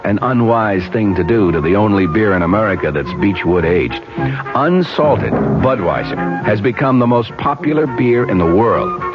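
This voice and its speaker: A man's voice: on the low side, expressive, normal speed. A man reading a radio commercial in a folksy, conversational way. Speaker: commercial announcer. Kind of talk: radio beer advertisement. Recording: dull 1970s radio ad with loud music.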